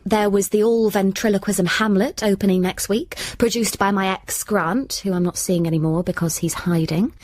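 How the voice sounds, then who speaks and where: A woman's voice: mid-range voice, steady tone, moderate pace, few pauses, darkish tone, no room sound, studio quality, lightly acted. An actress as a young British woman working in magazines, downhearted and wry. She speaks in a self-deprecating way, as heroine and narrator of a radio drama. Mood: wry, reflective. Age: young adult.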